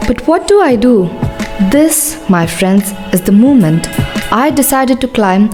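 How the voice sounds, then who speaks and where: A woman tells a scripted first-person story in a slow, dramatic way, her pitch moving expressively. She leaves deliberate pauses between phrases. Loud new-age music plays behind her. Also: big pitch swings. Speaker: narrator of a shadow puppet show. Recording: loud music bed under the voice. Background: new-age background music.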